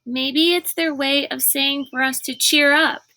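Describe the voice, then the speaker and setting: A woman's voice: high voice, steady tone, measured pace, bright, forceful tone, voice pushed up, full character voice. A woman voicing a cautious, earnest young boy in a children's storybook, explaining things in a worried way. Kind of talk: children's storybook read-aloud.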